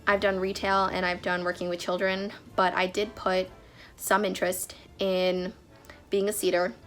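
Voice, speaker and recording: A woman's voice: lowish voice, little pitch movement, normal speed, a bit bright, soft background music. A young woman vlogging casually, sounding a bit nasal from a cold, chatty with frequent fillers. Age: young adult. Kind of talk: casual vlog talk.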